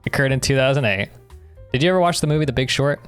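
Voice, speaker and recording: A man's voice: mid-range voice, fast talker, clear, resonant tone, no room sound, soft background music. A young man co-hosting a podcast, chatting casually and giving opinions in an explanatory way. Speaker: podcast co-host. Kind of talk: casual conversational discussion.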